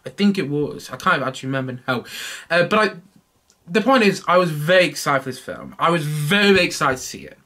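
A man's voice: fairly high pitch, fast. A young man hosting his own film review podcast from a small home room, talking casually and animatedly, with a stuffy nose from hay fever. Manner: animated, waves his hands while talking. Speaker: podcast host.

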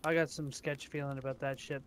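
A man's voice: medium pitch, flat delivery, brisk, a bit bright, a little hiss or hum. A man speaking casually in improvised in-character role-play chat.

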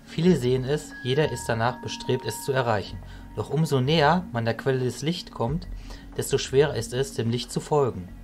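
A man playing a calm, consoling mage mentor in a fantasy audio drama, speaking in a measured, philosophical way. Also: medium pitch, soft background music, close to an everyday voice.

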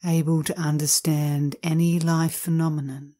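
A woman leads a guided meditation, reading short phrases in a calm, measured manner with long pauses. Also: low-pitched.

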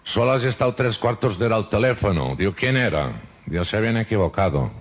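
Brief: a dry comedian with a very low voice. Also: male voice, expressive, fast, heavy background noise. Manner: dry storytelling, peppered with repeated "digo". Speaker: stand-up comedian. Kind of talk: joke-telling monologue.

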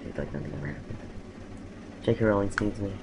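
A man's voice: low voice, fast, background noise. A man casually commentating his own gameplay, exclaiming at crashes and making self-mocking asides. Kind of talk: casual let's-play commentary.

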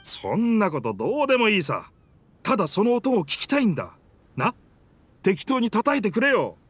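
A man voicing a stranded talking boat with a sailor's spirit, rough in phrasing, hearty and wistful, in a Japanese anime game. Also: high voice, huge pitch swings, moderate pace, full character voice. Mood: hearty, curious.

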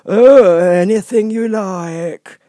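A man voicing a dim, stubborn frog footman from a storybook, with a hesitant, drawn-out, vague delivery. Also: high voice, dark tone, voice pushed up, exaggerated voice. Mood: indifferent.

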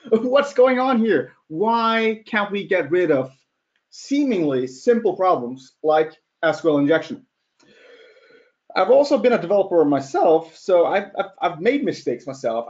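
Norwegian guy in his 30s, kinda american sounding accent, chatty webinar talk, voice a bit high, muffled lo-fi audio. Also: darkish tone. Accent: slightly American-sounding. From Norway. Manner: chatty, laughs at own points, rhetorical questions. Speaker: webcast presenter. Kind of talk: casual live webinar presentation.

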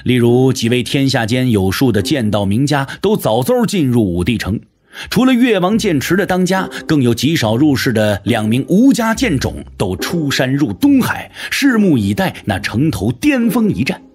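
A man's voice: medium pitch, very animated, moderate pace, a little mellow, faint music behind. A man narrating an audio drama from a script in a dramatic storytelling style.

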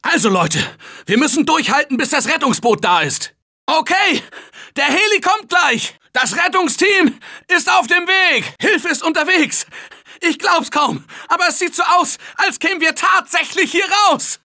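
A man voicing an upbeat office-worker survivor in a video game dub, shouting calls and encouragement to his teammates in an excitable manner. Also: very high-pitched, measured pace, heavily acted. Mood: wary. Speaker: game character. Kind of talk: German-dubbed video game voice lines.

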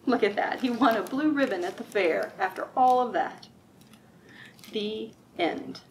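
A middle-aged woman reading aloud at a children's storytime, expressive and sing-song, drawing out rhymes and putting questions to young listeners. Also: on the high side, measured pace, slight background noise, rough recording. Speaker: children's librarian, storytime host. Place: library room with bookshelves, indoors.

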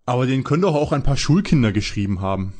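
A man playing an anxious teenage boy detective in a parody audio drama, with a strained husky voice. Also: mid-range voice, animated, fast talker, darkish tone, lightly acted. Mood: earnest, skeptical.

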